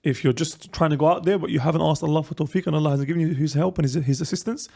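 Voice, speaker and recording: A man's voice: fairly high pitch, very fast, vocal fry, warm tone. A man from Libya, a podcast guest, explaining earnestly in casual chat.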